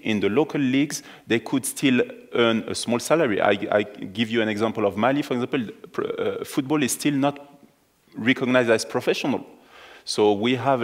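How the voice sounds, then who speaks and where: A man with a French accent, a native French speaker, talking on a conference panel, hesitant, with many restarts and fillers. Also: mid-range voice. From France.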